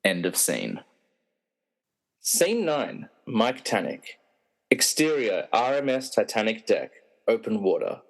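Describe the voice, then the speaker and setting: A man's voice: fairly low pitch, big pitch swings, slow, pauses often. A man narrating stage directions, reading a screenplay aloud as scripted narration.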